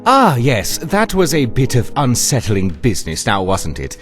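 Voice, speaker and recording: A man's voice: medium pitch, huge pitch swings, measured pace, a bit bright. A polite, scholarly curator in a fantasy game. His mid-range voice swoops about with great animation. He is formal, fussy and chatty, over faint music. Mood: genteel, relieved.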